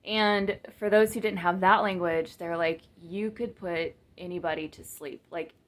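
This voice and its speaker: Flat-voiced woman vlogging to camera. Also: fairly low pitch, measured pace. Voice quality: monotone, flat delivery. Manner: rambling, many fillers and restarts, self-mocking. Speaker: vlogger and business coach. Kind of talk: casual talk to camera. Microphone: camera mic, speaking to the lens.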